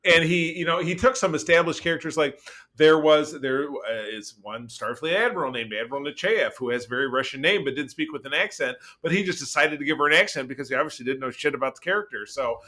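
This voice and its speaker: A man chatting casually as a podcast co-host, rambling along with animated, geeky enthusiasm. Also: on the high side, fast talker. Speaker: podcast co-host reviewing pop culture. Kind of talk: casual chat.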